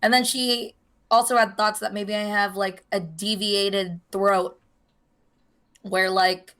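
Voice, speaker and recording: A young woman with a hoarse voice, co-hosting a podcast in casual chat, telling a long story and acting out the voices of the people in it. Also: medium pitch, level tone, slow, fairly bright tone. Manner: chatty storyteller, acts out quoted voices. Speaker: podcast co-host.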